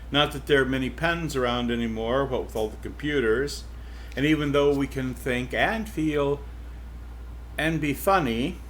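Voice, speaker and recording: A man's voice: mid-range voice, a bit bright, slight background noise. A man reading aloud as a witty, digressive female cow narrating her story.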